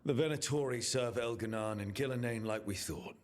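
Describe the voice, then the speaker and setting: An actor playing an earnest, determined fantasy hero in a video game, plain-spoken and purposeful. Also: male voice, mid-range voice, fairly quick, close to an everyday voice. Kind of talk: dramatic video game acting. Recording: music behind the voice.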